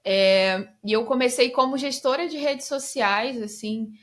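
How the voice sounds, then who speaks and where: Enthusiastic woman, fairly high voice. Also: a little slow. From Bahia, Brazil. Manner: casual, enthusiastic, many fillers. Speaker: guest, SEO specialist. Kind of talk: podcast interview.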